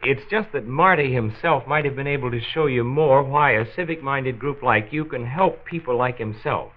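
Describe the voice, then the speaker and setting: An actor playing a reassuring, earnest county doctor with a measured manner, in a mid-century radio drama. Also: male voice, medium pitch, natural voice. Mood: earnest, appealing. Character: reassuring, civic-minded male county doctor, a measured persuader. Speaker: health commissioner. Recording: tinny phone-like old radio recording.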